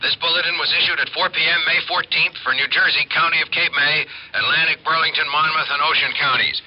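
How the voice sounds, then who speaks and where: A man's voice: on the high side, fairly even intonation, quick pace, close to an everyday voice. An American man playing an official emergency weather announcer, reading in a formal, urgent mid-century radio bulletin style. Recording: dull, lo-fi old radio recording.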